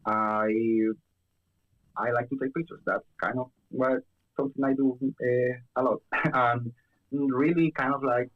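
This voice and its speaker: A man's voice: mid-range voice, steady tone, unhurried, narrow, tinny audio. A young man from Chile chatting casually as a guest, with lots of fillers and restarts. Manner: hesitant, many fillers and restarts. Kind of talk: casual chat.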